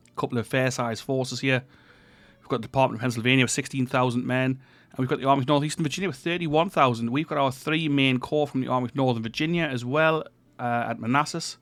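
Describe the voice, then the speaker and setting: A man at a middling pitch talks through his strategy game turn in a relaxed, unhurried way, thinking aloud with the odd filler. His voice is croaky and stuffed up from a lingering cold. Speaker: gaming channel host. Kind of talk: casual strategy game commentary.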